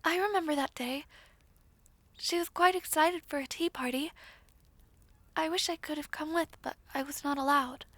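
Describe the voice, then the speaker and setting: A woman acts out a young princess in an audio roleplay, very high in pitch and closely, dryly recorded. She is awkward and apologetic, choosing formal words with hesitant courtesy. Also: moderate pace, pauses often, fairly bright tone, dry recording. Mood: tentative, wistful.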